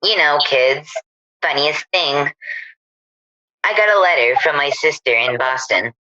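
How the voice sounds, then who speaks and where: A woman's voice: sits low, unhurried, sharp tone, no echo, dull audio. A woman playing a breezy, gossipy housewife chatting with a friend in a radio play. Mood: breezy, chatty.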